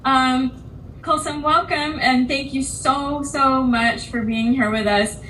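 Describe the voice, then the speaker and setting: The Californian woman hosting a small artist interview series, asking halting questions in a high voice, slightly away from the mic. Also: fairly even intonation, bright, forceful tone. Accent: Californian American. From California, United States. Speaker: interviewer and host. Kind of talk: casual interview. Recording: slightly distant mic with faint noise.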